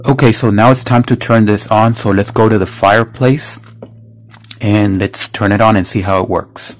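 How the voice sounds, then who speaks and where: A man's voice: fairly low pitch, fairly even intonation, normal speed. A man casually narrating a gadget demonstration as a reviewer, unhurriedly explaining each step aloud. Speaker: product reviewer. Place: indoors at home. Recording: faint background noise.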